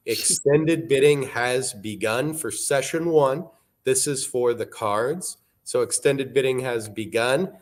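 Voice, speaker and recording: A man, hosting a live auction broadcast in a relaxed, wry, informative way. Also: medium pitch, slow. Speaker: auction show host.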